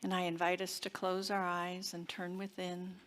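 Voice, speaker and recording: A woman minister giving a sermon and guided prayer in a deliberate, reverent manner with long pauses. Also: on the low side, monotone, fairly bright tone, a little hiss or hum. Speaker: minister leading a service. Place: church service.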